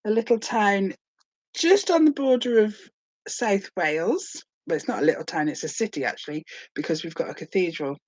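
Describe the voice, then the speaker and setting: A woman in her late forties from Hereford rambles cheerfully in a first vlog, full of cold with a sore throat. She is self-deprecating and chatty, and her voice sits mid-pitch in a dry, close recording. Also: expressive, moderate pace, darkish tone, no echo. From England, Hereford. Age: late 40s. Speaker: vlogger.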